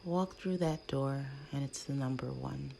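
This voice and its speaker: Very deep-voiced woman guiding a slow meditation. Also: vocal fry, low-quality recording. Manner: hushed, soothing, with long pauses between phrases. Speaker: guided meditation host. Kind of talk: guided meditation narration. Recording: faint background noise.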